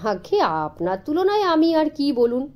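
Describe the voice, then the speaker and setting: A woman's voice: high-pitched, big pitch swings, measured pace, a little mellow. A woman voicing a timid, miserly man in a children's story, speaking in a meek and hesitant way.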